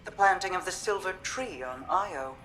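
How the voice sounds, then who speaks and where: An actress playing a regal, guarded and calmly resolute queen in a video game, speaking in a measured, formal, restrained manner. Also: lowish voice, a little hiss or hum, low-quality recording. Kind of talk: dramatic video game dialogue.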